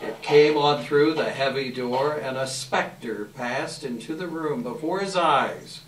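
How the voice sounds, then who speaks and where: A man narrating a classic story at a live reading, with measured, theatrical and emphatic phrasing. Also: mid-range voice. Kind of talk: dramatic scripted narration, live readers theater. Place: indoor live event room. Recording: echoey room, distant mic, faint noise.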